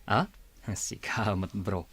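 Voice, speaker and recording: A man's voice: lowish voice, steady tone, slow pace, fairly bright tone, slightly rough, dry recording, exaggerated voice. A man playing a suspicious, teasing brother in a radio drama, probing as he talks. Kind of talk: melodramatic radio drama acting.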